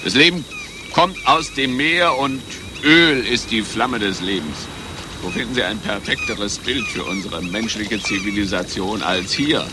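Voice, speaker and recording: An actor playing an eccentric, pompous spy chief in a satirical radio play, stuttering on single letters and syllables. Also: male voice, lowish voice, huge pitch swings, measured pace. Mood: agitated, commanding. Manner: pompous, theatrical. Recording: noisy outdoor ambience behind the voice.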